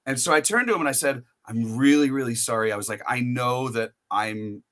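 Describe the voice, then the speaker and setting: American male host, bright forceful voice, loose chatty interviewer who wanders into anecdotes. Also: medium pitch, brisk. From United States. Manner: rambling, storytelling with many restarts. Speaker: podcast host. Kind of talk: casual interview chat.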